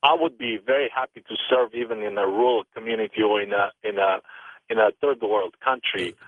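A man's voice: on the low side, very animated, fairly quick, no room sound. A young man whose native tongue is Bulgarian, calling into a radio show and talking earnestly and hesitantly, with many ums. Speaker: caller. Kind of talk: phone-in call to a radio show. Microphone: telephone. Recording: tinny phone line.

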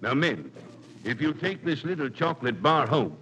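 An actor playing a slick burlesque candy hawker, giving a deliberate showman's sales spiel with suggestive wisecracks in 1940s radio comedy. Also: fairly high pitch, very animated, fairly bright tone, muffled recording. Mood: slick, teasing. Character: slick burlesque theater candy pitchman hawking wares. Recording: noisy old radio recording.

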